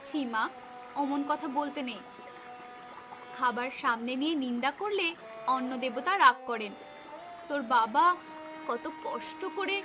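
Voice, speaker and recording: A woman voicing a gentle, weary, self-sacrificing mother in a cartoon, soft and soothing. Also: high voice, unhurried, long pauses, over music. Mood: tender, gently scolding. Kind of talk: cartoon voice acting.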